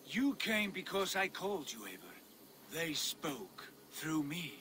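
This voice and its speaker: A commanding male Viking, pitched high with wide melodic swings. He is grandiose and sure of his divinity, in game drama over clear ambient noise. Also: big pitch swings, slow pace, pushed and bright, heavily acted. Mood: lofty. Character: imperious Viking lord convinced of his own divinity. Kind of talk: dramatic video game acting.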